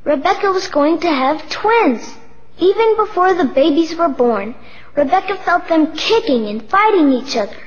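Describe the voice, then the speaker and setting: A woman's voice: very high-pitched, expressive, normal speed, slight background noise. A woman reading scripted narration for a children's Bible story. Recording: dull audio with music behind. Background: music.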